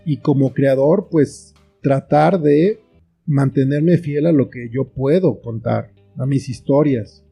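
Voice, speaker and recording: A man's voice: medium pitch, slow pace, vocal fry. A middle-aged man with a Mexican accent speaking reflectively as a guest in a conversational interview. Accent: Mexican Spanish. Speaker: interview guest, writer and filmmaker. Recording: faint guitar music behind. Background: soft plucked guitar music.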